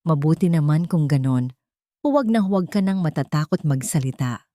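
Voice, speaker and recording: A warm, gentle father in an audio drama, read by a woman narrator. He speaks as a fond parent to his young girl. The sound is dry and close. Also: low voice, expressive, normal speed, dry recording. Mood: warm, affectionate.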